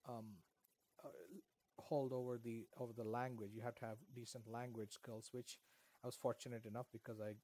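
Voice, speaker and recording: A man's voice: medium pitch, little pitch movement, a little fast, darkish tone. A middle-aged man from India whose first language is Kannada, answering interview questions at length with many fillers and restarts. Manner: rambling, with frequent fillers and restarts. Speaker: author guest.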